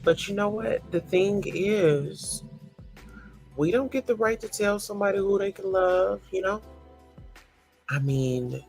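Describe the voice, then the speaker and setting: A man commenting slowly and thoughtfully on a story, leaning on "you know" a lot. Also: sits high, creaky, darkish tone, soft background music. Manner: slow, hedging, repeats "you know". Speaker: podcast commentator. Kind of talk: reflective commentary.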